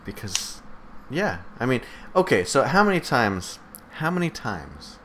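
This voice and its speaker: Man, lowish bright voice, very lively intonation, blunt joking podcast chat, faint background noise. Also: unhurried. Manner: animated, joking, blunt, swears freely. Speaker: podcast co-host. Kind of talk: casual live podcast chat.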